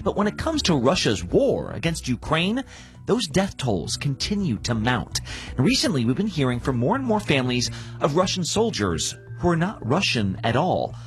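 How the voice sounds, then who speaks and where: A man's voice: a little high, wildly swooping pitch, moderate pace, faint music behind. A man with an American accent hosting a news programme in a conversational narrating style. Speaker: news podcast host.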